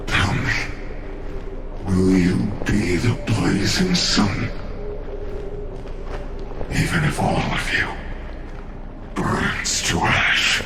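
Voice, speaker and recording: Ominous game warrior, gravelly, extremely slow and halting, fierce, fatalistic. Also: male voice, on the low side, big pitch swings, heavily acted. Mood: wrathful, challenging. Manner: halting, portentous, intense. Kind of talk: scripted game cutscene acting. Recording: long reverb under music.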